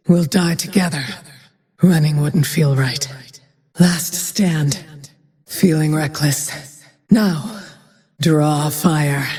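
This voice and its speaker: An actress voicing a grim, vengeful frost archer in a video game, with cold, terse, menacing delivery and a breathy tone that sometimes drops to a whisper. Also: female voice, low voice, warm tone, full character voice. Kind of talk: video game character voice lines. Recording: long cavernous reverb tail.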